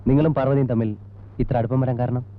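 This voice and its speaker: Actor as a brisk, probing Malayali policeman. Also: mid-range voice, flat delivery, lightly acted. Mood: curious, probing. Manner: probing, clipped questioning. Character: persistent, businesslike male police investigator. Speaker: actor in a film. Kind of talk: film drama acting. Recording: tinny band-limited old film soundtrack.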